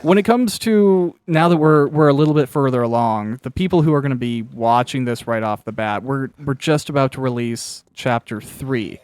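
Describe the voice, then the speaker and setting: A man's voice: medium pitch, moderate pace, few pauses, a little mellow, smooth, dry recording. A man hosting a casual, conversational interview while playing a video game, thinking aloud as he talks. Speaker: host, interviewer and producer of an audio drama.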